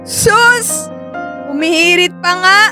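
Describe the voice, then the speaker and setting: A woman's voice: very high-pitched, slow, music in the background. An actress playing a sweet, playful young girlfriend with a girlish, coaxing way of talking in a radio romance drama. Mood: playful, teasing. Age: young adult.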